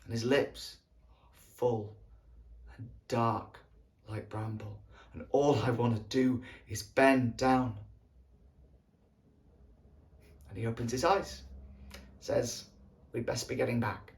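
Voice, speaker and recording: A man playing a wistful British soldier of the First World War, reminiscing tenderly in an earthy, halting stage monologue. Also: on the high side, animated. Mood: tender longing. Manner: colloquial, earthy, halting storytelling. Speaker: actor performing an audition monologue.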